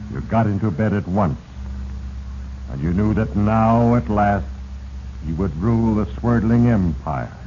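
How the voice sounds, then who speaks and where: A man playing Fate, a sinister all-knowing narrator in a 1940s radio drama, with a deliberate, accusing manner. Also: lowish voice, mellow. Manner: deliberate, heavy-handed, accusing. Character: Fate, sinister omniscient male narrator manipulating doomed men. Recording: muffled, noisy 1940s radio recording.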